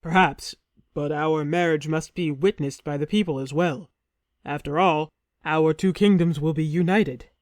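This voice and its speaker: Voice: mid-range voice, unhurried, dry recording, studio quality, close to an everyday voice. A storyteller voicing a young male hero posing as a king, speaking firmly and a little stiffly. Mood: insistent. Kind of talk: storybook reading.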